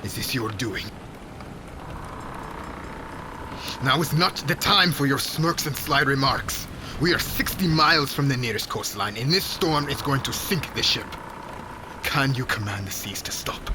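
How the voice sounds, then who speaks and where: A man's voice: lively intonation, normal speed, natural voice. A man acting as a stern, proud witch hunter in an ASMR roleplay, speaking in terse, commanding phrases to a captive. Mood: urgent, demanding. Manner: commanding, clipped, terse, low intensity breaking into urgent shouts. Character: stern proud male witch hunter, gruff captor, guardedly duty-bound. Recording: loud storm and ambience noise behind voice.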